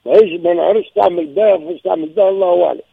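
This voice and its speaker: A man's voice: high voice, very fast, gravelly, husky, no echo, narrow, tinny audio. A man in his sixties with a Tunisian accent, calling in and telling of trouble in his marriage, emphatic and repeating himself. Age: 60s. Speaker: caller. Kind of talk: recounting a personal marital problem.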